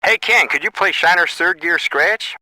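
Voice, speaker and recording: A man's voice: high voice, normal speed, bright, forceful tone, no room sound, full character voice, rough recording. An actor playing a brash, dim young man calling in to a radio show in a video game. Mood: eager. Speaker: radio call-in listener. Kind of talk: scripted game radio call-in.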